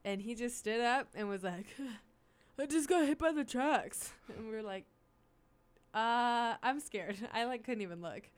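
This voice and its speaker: A woman's voice: fairly high pitch, unhurried, clear, resonant tone. A young woman in casual chat as a college radio co-host, giggly and chatty, peppering her talk with "like". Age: young adult.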